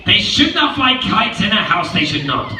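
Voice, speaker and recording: A man putting on a shrill panicky fish. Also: big pitch swings, moderate pace, sharp tone, exaggerated voice. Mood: fretful. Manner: shrill, fussy and alarmed. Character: panicky fretful pet fish, male. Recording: echoey theatre heard from far off.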